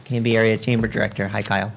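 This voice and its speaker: A man's voice: fairly low pitch, flat delivery, very fast, vocal fry, a little hiss or hum. A man moderating a candidate forum, upbeat and encouraging as he introduces questioners.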